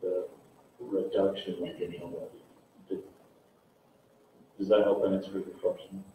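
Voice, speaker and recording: Deep, flat-voiced man in an echoey hall. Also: slow pace. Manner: hesitant, filler-heavy, self-deprecating. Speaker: political candidate. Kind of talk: answering a question at a public forum. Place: large community hall, standing. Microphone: handheld mic. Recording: echoey hall, distant, phone-like, faint hiss.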